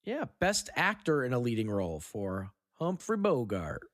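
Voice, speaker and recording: Male podcast host, lively swings in pitch, casual and joking, close dry sound. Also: moderate pace, no echo. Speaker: podcast host reading trivia. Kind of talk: casual film discussion with trivia quiz.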